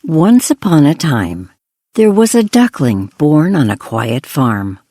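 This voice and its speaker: A woman's voice: sits low, sing-song, takes it slowly, mellow, no echo, clean studio sound. A woman reading a scripted fairy tale as a children's story narrator.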